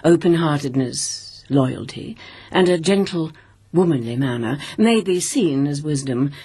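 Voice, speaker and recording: A middle-aged woman acting in a radio drama as a haughty, menacing princess, with a gravelly, husky voice and formal, cutting speech. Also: deep voice, expressive, unhurried, mellow, exaggerated voice. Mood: silkily sardonic. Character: haughty, menacing imperial princess and protective mother.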